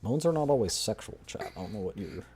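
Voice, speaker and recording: A man's voice: fairly low pitch, lively intonation, fast, a little mellow, husky. A man livestreaming a game, chatting casually with his audience. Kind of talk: casual game stream chat.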